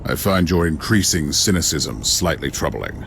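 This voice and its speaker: A man playing a grim, steadfast inquisitor in a video game, stern, measured and commanding. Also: a bass voice, lively intonation, heavy background noise. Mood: dry, stern. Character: grim, authoritative male inquisitor, steadfast and protective. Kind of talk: dramatic video game acting. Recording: loud music behind the voice.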